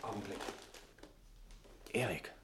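An actor playing a smooth-talking, coolly conspiratorial man in a 1960s German radio drama. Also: on the low side, slow pace. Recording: faintly noisy old radio recording.

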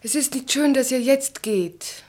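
An actress playing an anxious, self-reproachful, refined wife in a radio play, with a hushed, intimate delivery. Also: female voice, sits high, measured pace. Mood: uneasy. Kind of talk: German radio play acting.

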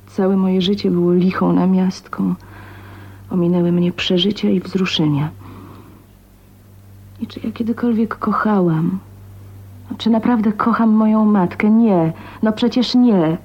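An actress playing a bitter, lonely spinster of twenty-nine in a radio drama soliloquy, husky, introspective and halting, often half-whispering. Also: female voice, mid-range voice. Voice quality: breathy, husky. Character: bitter, lonely, ailing 29-year-old spinster heroine, soliloquizing. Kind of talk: 1980s radio drama monologue. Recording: dull, muffled radio recording.

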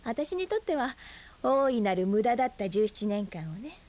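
An actress in her forties playing a brooding, bitter aunt who is a schoolteacher, speaking softly and often in a half-whisper in a radio drama. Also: a little high, big pitch swings, moderate pace. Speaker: high school teacher.

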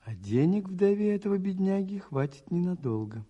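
An actor playing a thoughtful, observant priest detective in a radio play, musing aloud with a husky, mellow voice. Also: male voice, high-pitched, big pitch swings, moderate pace, heavily acted. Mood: puzzled, musing. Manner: musing, questioning himself aloud. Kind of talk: radio play narration and monologue.

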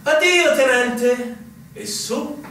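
Pompous old-school army commander man, high voice, super theatrical and condescending, radio play, big echo. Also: very slow, heavily acted. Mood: evasive, dismissive. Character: pompous, evasive senior military commander, male, older. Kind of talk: stage-style radio drama acting. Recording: very echoey, distant, faint noise.

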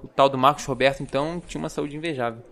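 An actor playing a dry, exasperated young forensic doctor in an audio drama, speaking precisely with a Brazilian accent. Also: male voice, medium pitch, very fast, a bit bright, lightly acted. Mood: frustrated, earnest.

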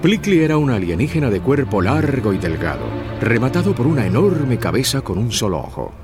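A man's voice: medium pitch, sing-song, brisk. A man narrating a scripted children's story. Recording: music behind.

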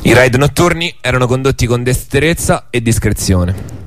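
A man narrates a scripted documentary as a radio host. His pitch is average, and faint music plays behind him. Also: animated, moderate pace. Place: radio studio.

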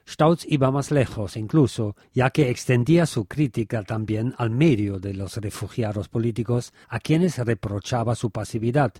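A man reads scripted narration from a book in a formal, measured way. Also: medium pitch, warm tone, no room sound, studio quality. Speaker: narrator reading a book extract.

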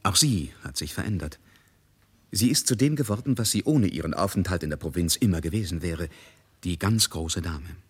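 An actor narrating a literary radio drama in a measured, emphatic, worldly manner. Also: male voice, low-pitched, expressive, slightly rough. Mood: dramatic narrating.

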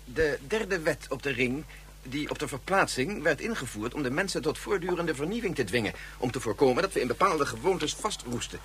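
An earnest male physicist in a radio play. He talks thoughtfully, with a lively, animated voice and faint hum behind him. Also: a little high, brisk.

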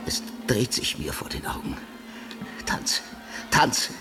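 An actor playing a tormented, jealous soldier in a radio drama, halting and obsessively repeating himself. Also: male voice, natural voice. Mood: haunted, restless. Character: tormented jealous young soldier, haunted, feverish, brooding. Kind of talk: German radio drama acting. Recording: loud music behind the voice.

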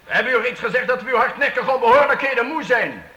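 A jovial lord of the court, high-voiced and swooping widely in pitch. He speaks with stately formality and command in a roomy radio play recording. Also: expressive, quick pace, bright, forceful tone, highs cut off, full character voice. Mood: stern, commanding. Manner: stately, formal royal-we phrasing. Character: jovial, commanding medieval count holding court. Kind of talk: radio play acting for youth.

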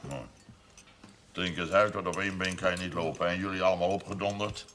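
An actor playing a proud, blunt, domineering sixty-year-old farmer in a seventies television drama. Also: male voice, low-pitched, flat delivery, a bit bright. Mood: gruff, dismissive. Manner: blunt, commanding, deliberate storytelling. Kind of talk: 1970s Dutch television drama acting. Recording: noisy old television soundtrack.

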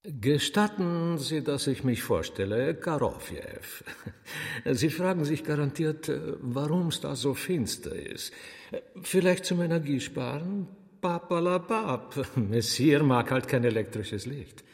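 An actor playing a sly, chatty demon magician in a radio drama, with an Austrian accent, a gravelly husky voice and theatrically courteous, rambling delivery. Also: male voice, on the high side, expressive, heavily acted. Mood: sly, amused. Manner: rambling, chuckling, theatrically courteous, drawn-out words. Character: sly, chatty demon magician, former choirmaster, showman servant. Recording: long hall reverb.

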